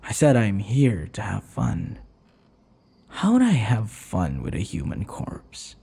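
A man acts out an ancient male vampire captor for an ASMR roleplay audio. The voice is very breathy and husky, with a gravelly creak and a lowish pitch. He is possessive and taunting, crooning with mock tenderness. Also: huge pitch swings, dark tone, heavily acted. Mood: smug, menacing. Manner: slow, mock-tender, taunting, often dropping to whispers. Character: teasing, possessive five-century-old male vampire captor. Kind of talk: ASMR boyfriend roleplay, intimate dramatic monologue.

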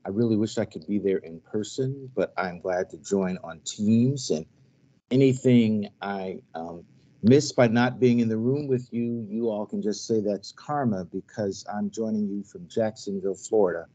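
A middle-aged man, a priest and council member, introducing himself on a video call in a relaxed, halting way with self-deprecating asides. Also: on the low side. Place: hotel room, joining remotely. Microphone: headset on video call. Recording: dull video call audio.

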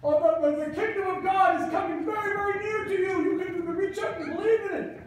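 A performer acting a kindly, excitable puppet figure with a halting delivery in an amateur church puppet show. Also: very high-pitched. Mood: gentle, earnest. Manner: halting, repeats phrases. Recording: distant, echoey church with light crowd noise.

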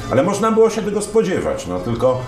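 A man's voice: fairly high pitch, huge pitch swings, fast. A man in his fifties, a sports commentator and interview guest, telling stories at an easy pace with frequent fillers and restarts, on a lapel mic. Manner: storytelling, many fillers and restarts. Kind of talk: relaxed conversational interview. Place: dim room lined with wine shelves, indoors. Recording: roomy sound with music behind.

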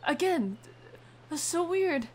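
A woman's voice: very high voice, animated, slow pace. A woman hosting a gaming video with casual, reactive commentary, muttering informal asides to herself.

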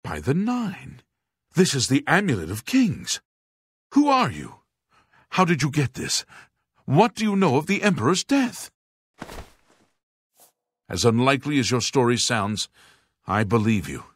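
A man playing a grave aging monk and former guard captain in a fantasy video game, speaking formally and deliberately with a husky voice. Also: medium pitch, wildly swooping pitch, a bit bright, no echo, studio quality, heavily acted. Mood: astonished, alarmed. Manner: formal, solemn, deliberate enunciation.

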